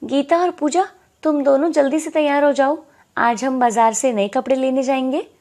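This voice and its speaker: A woman voicing a bossy, scheming aunt in an animated moral story, with a sharp, commanding manner, over music. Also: sits high, normal speed, voice pushed up. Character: scheming, bossy aunt of two orphaned girls.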